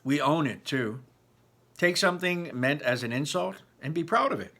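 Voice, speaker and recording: A man reading a novel aloud in an expressive, emphatic, informal way, like a teacher, with personal asides. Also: a little high, normal speed.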